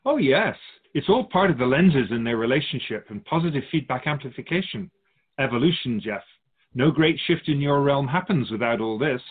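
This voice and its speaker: A man playing a wise, patient otherworldly keeper of souls in a radio drama, amused, reassuring and philosophical in measured phrases. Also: medium pitch, expressive, phone-quality sound, lightly acted. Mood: grave, explanatory. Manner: measured, philosophical, musing, addressing humanity as an outsider.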